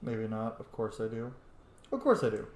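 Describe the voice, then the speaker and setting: A man's voice: fairly low pitch, sing-song, normal speed, darkish tone, roomy sound. A man giving casual, joking commentary as he plays through a game, chatting informally. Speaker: game player, streamer.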